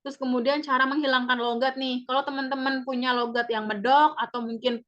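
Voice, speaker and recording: A woman, a public speaking trainer giving a chatty, upbeat webinar pitch and repeating key points. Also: level tone, brisk, a bit bright. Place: online webinar. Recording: dull lo-fi webinar audio.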